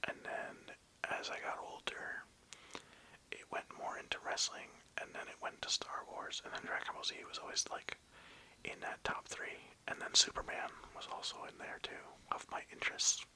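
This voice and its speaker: A person whispering in a breathy, unvoiced voice, rambling casually and hesitantly as the host of an ASMR video. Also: moderate pace, bright, forceful tone, rough recording. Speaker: video host presenting a toy collection.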